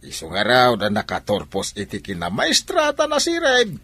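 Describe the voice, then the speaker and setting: A man plays a stubborn, complaining shop owner in an Ilocano radio drama. His Filipino voice is a little husky, and his pitch swings widely as he grumbles to his mother. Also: wildly swooping pitch, moderate pace, bright, forceful tone, full character voice. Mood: calm.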